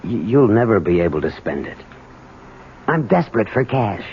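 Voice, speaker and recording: A man's voice: fairly low pitch, big pitch swings, normal speed. An actor playing a harried, resentful grown son and businessman, stammering with urgency in a 1970s radio drama. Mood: desperate, pleading. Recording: dull, noisy old radio broadcast.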